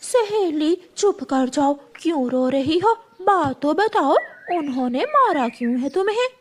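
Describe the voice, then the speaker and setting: A woman's voice: high voice, animated, a little slow. A woman voicing a big, bossy female eagle in a children's cartoon, stern, protective and questioning. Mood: concerned, stern. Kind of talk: children's cartoon story dub.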